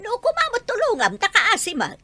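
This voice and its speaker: A woman's voice: very high-pitched, huge pitch swings, normal speed, bright, forceful tone, no room sound, full character voice. A woman acting a reproachful, protective mother of a grown son in an Ilocano audio drama, with an Ilocano accent. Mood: scolding.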